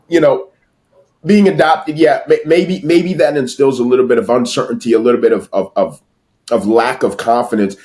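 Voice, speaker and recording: American guy on a podcast, casual and emphatic, repeats himself, slangy bro talk, mid pitch. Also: a little mellow. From United States. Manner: emphatic, repetitive, slangy, addresses listener as bro. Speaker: podcast guest, sales entrepreneur. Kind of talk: casual motivational interview talk.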